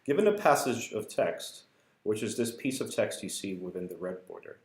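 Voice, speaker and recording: A man's voice: fairly low pitch, big pitch swings, normal speed, a little mellow. A man explaining a research paper at a whiteboard in a casual, hesitant way, with many fillers and restarts. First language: not English. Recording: echoey room.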